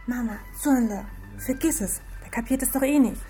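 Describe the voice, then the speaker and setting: Woman acting breezy young woman, radio drama, chatty, highish pitch, faint music. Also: moderate pace, dark tone, lightly acted. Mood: exasperated.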